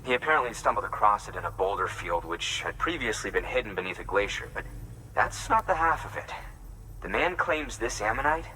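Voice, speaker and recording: An actor playing an irritable, skeptical scientist in a video game, blunt and sardonic in manner. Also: male voice, on the low side, sing-song, a little fast, bright, forceful tone, a little hiss or hum, rough recording. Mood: skeptical, dry. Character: irritable male scientist, skeptical and fussy, eager about fossils. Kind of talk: video game character dialogue.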